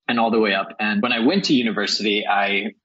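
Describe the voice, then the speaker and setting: A man in his forties from Texas, telling his life story in a relaxed, rambling, self-deprecating way as an interview guest. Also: lowish voice, fast talker, husky. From El Paso, Texas, USA. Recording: muffled lo-fi audio.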